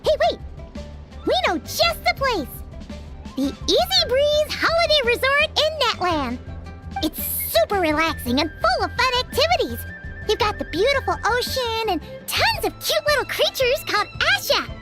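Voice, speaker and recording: A tiny, bubbly fairy sidekick with an extremely high, squeaky childlike voice. The delivery swoops up and down with excitable game-character energy. Loud music plays behind it. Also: female voice, normal speed. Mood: bubbly, excited. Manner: bubbly, speaks of self in third person. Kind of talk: video game voice acting.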